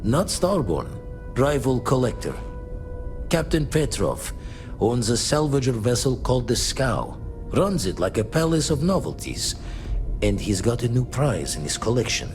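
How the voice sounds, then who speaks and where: An actor playing a gruff, street-smart spacefaring ex-pirate in a video game, talking in clipped, folksy slang. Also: male voice, medium pitch, sing-song, unhurried, darkish tone, soft background music. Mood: conspiratorial.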